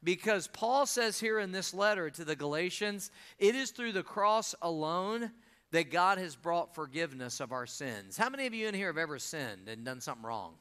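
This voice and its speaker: A pastor, preaching a sermon and drawing answers from the congregation. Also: male voice, fairly high pitch, big pitch swings, moderate pace, sharp tone, clear, resonant tone. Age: 50s. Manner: call-and-response preaching, asks the congregation to answer.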